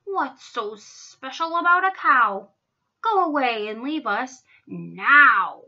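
A woman's voice: high-pitched, sing-song, takes it slowly, a bit bright, exaggerated voice. A woman voicing haughty, dismissive zoo animals in a children's story, brusque and scornful, slightly dull recording. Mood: scornful, unwelcoming.

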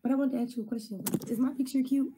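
A blunt, skeptical teenage girl on a video call, her voice a little high. Clear noise sits behind her over the line. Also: fairly even intonation, racing. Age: teens. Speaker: video-chat stranger. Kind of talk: casual video chat.

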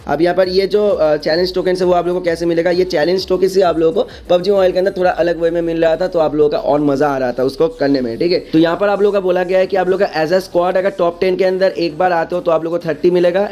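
A man's voice: a little high, dark tone. An Indian man giving a casual explainer commentary as a gaming video creator, peppering his talk with English words and repeated verbal tags.

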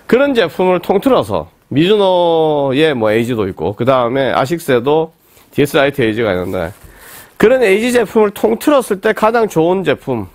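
A man in his forties with a cold, hoarse and rambling as he reviews football boots in a small indoor room. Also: a little high, no room sound. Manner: rambling, with many fillers and restarts. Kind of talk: casual product review talk.

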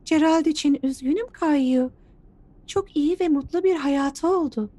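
Kind, high-voiced cartoon grown-up. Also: female voice, unhurried, no room sound. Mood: sympathetic. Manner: gentle, careful explaining to small children. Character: kind, patient female preschool teacher or mother. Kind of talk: children's cartoon dubbing. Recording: studio dub over background music.

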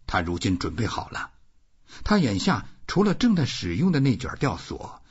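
Husky, highly expressive male audiobook narrator. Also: normal speed, muffled recording. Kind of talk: expressive scripted literary narration.

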